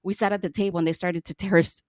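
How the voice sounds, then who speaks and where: A woman's voice: lowish voice, fairly even intonation, racing, darkish tone, no echo. Chatty woman host, dry sound. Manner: chatty, laughs often, stumbles and restarts. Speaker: podcast host. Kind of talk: casual interview chat.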